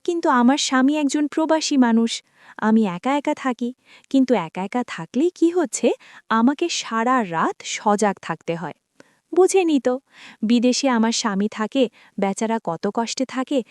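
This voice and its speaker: Woman narrator, confiding storyteller who speaks to listeners as friends; animated, higher-pitched, dry recording. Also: no echo. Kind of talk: first-person story narration.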